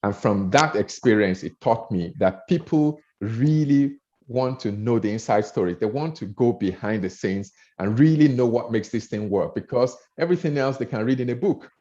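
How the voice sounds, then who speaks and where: A man's voice: mid-range voice, lively intonation, moderate pace, warm tone. A man with a Nigerian accent coaching on public speaking, earnest, often repeating words as he builds his points. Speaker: speaker trainer. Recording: dull lo-fi audio.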